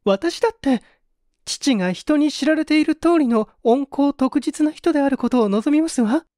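An anguished young daughter, as acted by a male reader in a mystery audiobook. The voice is raised high and swings with emotion, polite yet pleading, in a dry recording. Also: expressive, moderate pace, mellow, no echo. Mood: pleading, resolute. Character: anguished earnest young woman, an old man's daughter.

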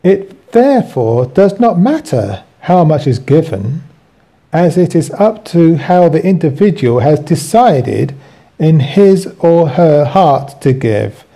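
A man reading a scripted religious lesson aloud as a teacher, in measured phrases. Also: a little high, big pitch swings, dark tone. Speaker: Bible teacher.

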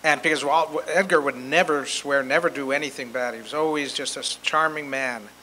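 A man's voice: on the high side, steady tone, fairly quick, pushed and bright. An American man over sixty telling anecdotes to an audience in a plain, conversational way. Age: 60s or older. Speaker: guest speaker at a convention. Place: hotel meeting room with seated audience. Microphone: handheld microphone. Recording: noisy hotel meeting room.

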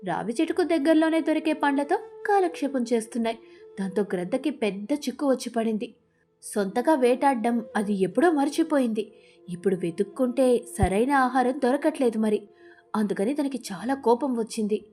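A woman's voice: a little high, expressive, fairly quick, mellow, faint music behind. A woman narrating a scripted storybook tale as a storyteller.

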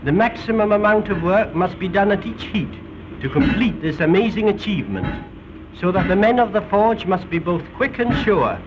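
An old, dull-sounding film soundtrack with some noise carries a British man's voice. He narrates like a 1930s documentary presenter, formal and a little theatrical. His delivery swings in a lively way through the phrases. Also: high voice, moderate pace.

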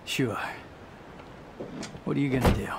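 An actor playing a cocky, impatient young demon hunter in a video game, blunt and sarcastic. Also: male voice, mid-range voice, unhurried, creaky, heavy background noise. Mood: businesslike. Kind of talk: English-language video game cutscene acting. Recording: loud music behind the voice.

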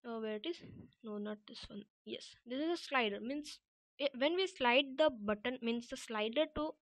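A woman with a high-pitched voice walks through a screen tutorial step by step. She speaks off the cuff, haltingly, often correcting herself. The voice-over sounds dry, with very little room sound. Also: no room sound. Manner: halting, self-correcting delivery. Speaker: app-building tutorial presenter.

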